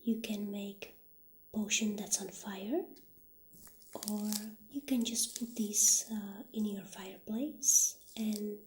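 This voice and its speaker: A woman speaking softly and breathily, often near a whisper, in a gentle ASMR roleplay as a witch shopkeeper. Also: mid-range voice, steady tone, takes it slowly. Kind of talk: soft-spoken, half-whispered ASMR roleplay. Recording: roomy echo, audible background noise.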